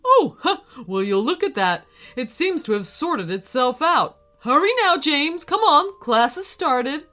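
Storybook wizard teacher, a woman putting him on: brisk, cheery, encouraging, bright and animated. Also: high voice, pitched above the performer's own voice, full character voice. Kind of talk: storybook character voice.

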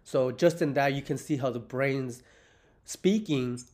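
A relaxed man in his thirties hosts a loose, rambling podcast conversation over a remote call. He swears casually and drifts from thought to thought at an even, middle pitch. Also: unhurried. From United States. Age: 30s. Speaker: podcast host.

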